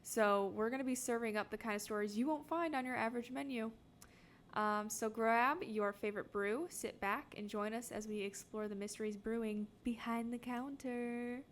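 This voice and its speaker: A woman's voice: a little high, normal speed, a little hiss or hum. A young woman co-hosting a podcast, giving an upbeat, dramatic intro and chatting casually. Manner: upbeat, dramatic show-opening delivery. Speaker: podcast co-host. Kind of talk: casual chat with scripted intro.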